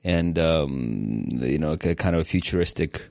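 A man's voice: rumbling low, expressive, measured pace, creaky, a little mellow, no room sound. A male podcast co-host who talks loosely, with lots of fillers. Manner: loose, filler-heavy talk. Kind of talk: casual podcast chat.

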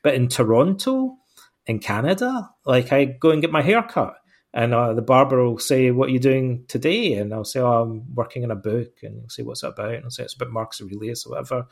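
A man's voice: mid-range voice, fairly quick, vocal fry. A middle-aged Scottish man, an author answering interview questions in a rambling, self-deprecating way with many fillers and restarts. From Scotland. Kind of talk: relaxed conversational answers.

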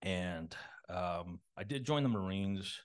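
A man from a Los Angeles suburb, likely in his thirties, talking as a podcast guest in a halting, rambling way full of fillers and restarts. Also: low voice, animated. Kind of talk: casual interview talk.

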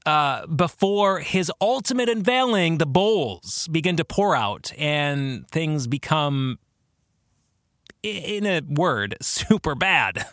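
A man hosting a podcast, explaining theology in a monologue with deliberate, emphatic phrasing. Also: a little high, big pitch swings, a bit bright, no echo, studio quality. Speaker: podcast host and author.

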